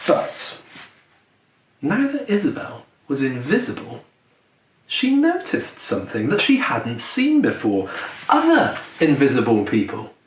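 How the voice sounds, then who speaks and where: Male storyteller for a picture-book read-aloud: unhurried, lively intonation, deliberate pauses, recorded in a roomy space. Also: mid-range voice. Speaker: children's author reading own book aloud. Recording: roomy echo, distant mic, faint noise.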